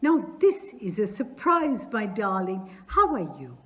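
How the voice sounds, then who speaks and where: A woman's voice: huge pitch swings, a little slow. A woman reading aloud as an effusive, fussy older male landowner who showers his guest with endearments. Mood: gushing. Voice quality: gushing, loud and high-pitched endearments.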